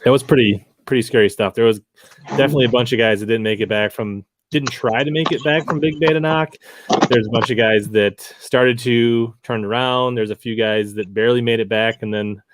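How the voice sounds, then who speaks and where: A man's voice: mid-range voice, steady tone, a little fast, slight background noise. A man telling fishing stories casually as an angler guest on a podcast.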